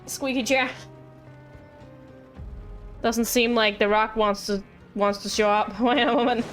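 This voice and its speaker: A woman livestreams a game with a fairly high voice and faint music behind it. She chats casually, playful and pleading, often apologizing as she goes. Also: steady tone, unhurried. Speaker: streamer.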